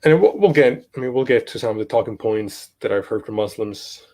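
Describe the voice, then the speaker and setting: A young man from Italy, talking casually as an interview guest, halting with many fillers and restarts. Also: fairly low pitch, lively intonation, a little mellow. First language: Italian. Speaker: guest, podcast host.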